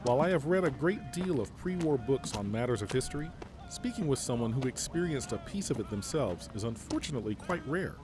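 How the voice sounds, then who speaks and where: A man's voice: medium pitch, sing-song, fairly quick, music in the background, close to an everyday voice. An actor playing a polite, commanding male general in an audio drama, speaking formally.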